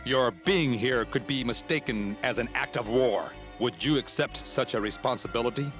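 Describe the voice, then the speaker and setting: An actor in an animated series playing a calm, dignified deputy and loyal companion, formal and composed. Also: male voice, medium pitch, moderate pace, lightly acted. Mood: firm. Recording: music behind the voice.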